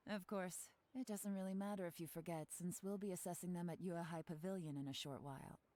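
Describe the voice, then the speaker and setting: Actress playing a slick female spy in a game, low, mellow voice, teasing and sure of herself, faint music behind. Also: fairly even intonation, close to an everyday voice. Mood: businesslike. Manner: wry, confident, brisk. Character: cool, teasing female covert agent. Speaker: game character. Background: game soundtrack music.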